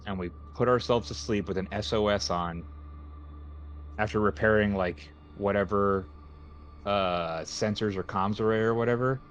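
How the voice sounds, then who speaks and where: A man playing a tabletop roleplaying game, casually pitching plans to the group. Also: moderate pace, slight background noise. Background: background music.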